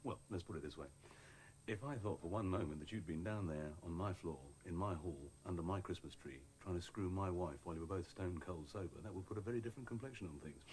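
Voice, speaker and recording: A male actor as a coolly threatening husband in a drama, sarcastic and controlled. The voice is low and fast, over faint noise. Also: a little mellow, natural voice. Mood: quietly threatening. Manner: measured sarcasm, menacing politeness. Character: coolly menacing husband making a calm threat.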